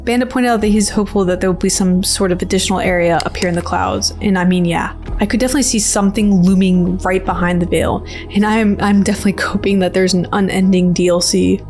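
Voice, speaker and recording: A woman's voice: mid-range voice, steady tone, fast, few pauses, darkish tone, faint music behind. A woman giving casual, rambling commentary on a video game trailer in a playful, slangy, informal way. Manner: informal, playful, slangy filler-heavy speech. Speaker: video creator and host.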